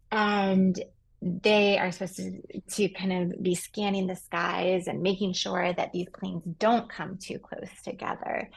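Woman reporter, gravelly and husky, explaining in interview. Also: medium pitch, rough recording.

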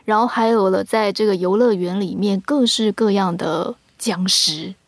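A woman's voice: mid-range voice, moderate pace, a crowd in the background. A woman with a Taiwanese Mandarin accent, a podcast guest explaining a drama production in conversation.

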